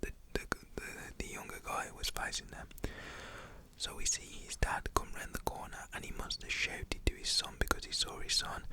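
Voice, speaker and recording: A person with a British accent whispers a casual, slangy personal story in an ASMR-like style. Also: normal speed. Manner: informal, slangy, acts out quoted shouts in a whisper.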